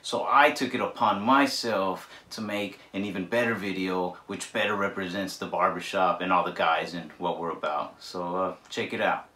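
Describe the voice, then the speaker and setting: Deadpan young man in an echoey room. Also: low voice, moderate pace, few pauses. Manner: dry, offhand humor. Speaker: vlog host and barber. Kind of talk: casual deadpan talk to camera. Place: small room indoors.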